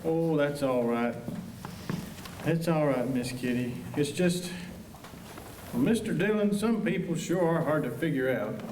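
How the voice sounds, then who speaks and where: A man's voice: a little high, measured pace, long pauses, a little mellow, lightly acted. A man playing a bashful, polite Old West deputy in a radio drama, hesitant and folksy. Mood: wistful. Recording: echoey, distant auditorium mic, clear noise.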